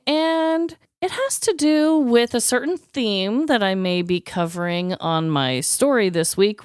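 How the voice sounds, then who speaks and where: A woman co-hosting a podcast with casual comic storytelling and banter, animated and sweary, acting out dialogue. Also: medium pitch, clear, resonant tone, no room sound, studio quality. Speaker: podcast co-host.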